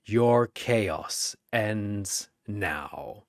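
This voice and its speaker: A man voicing a grave, wrathful, wounded angel in a fantasy battle story, raspy, solemn and condemning. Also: on the low side, very slow, no echo, studio quality, voice pushed down.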